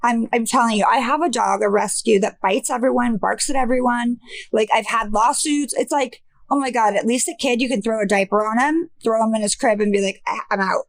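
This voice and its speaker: Chatty high-voiced woman host. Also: a little fast. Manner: candid and chatty, swears freely. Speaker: podcast host. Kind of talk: casual chat.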